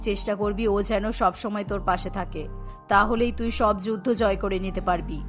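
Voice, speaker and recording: A woman's voice: mid-range voice, steady tone, brisk, soft background music, voice pushed down, close to an everyday voice. A woman voicing a doting, worried middle-aged mother in a cartoon story. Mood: reassuring.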